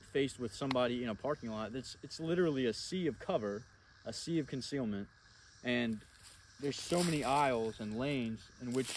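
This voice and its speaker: Young male instructor, mid-pitched, informal and blunt, recorded outdoors on camera with faint noise. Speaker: firearms instructor. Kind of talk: casual instructional talk to camera. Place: outdoors in a wooded clearing at a flat range.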